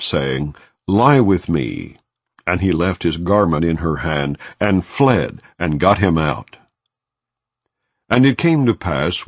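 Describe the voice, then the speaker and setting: Deep, solemn male scripture reader. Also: dry recording. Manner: solemn, measured, formal delivery. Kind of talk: unhurried scripted scripture reading.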